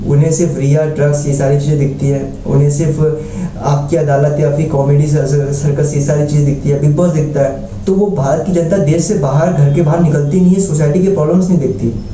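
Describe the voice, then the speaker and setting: Very dark-voiced man, echoey lo-fi recording. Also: fairly high pitch, steady tone, a little fast. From India. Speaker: social commentary vlogger. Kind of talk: opinion monologue addressed to viewers. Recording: dull lo-fi, roomy echo, faint noise.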